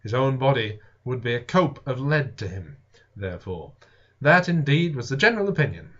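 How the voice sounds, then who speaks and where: A man reading a classic science fiction novel aloud as dramatic narration, with deliberate, expressive, theatrical phrasing. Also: mid-range voice. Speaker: audiobook reader. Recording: dull lo-fi home recording.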